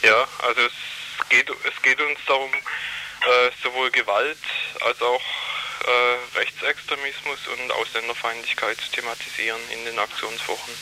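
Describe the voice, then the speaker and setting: A man's voice: medium pitch, fairly even intonation, measured pace, bright, forceful tone, a little hiss or hum, rough recording. A man answering radio interview questions as a youth worker, hesitant with many fillers and restarts.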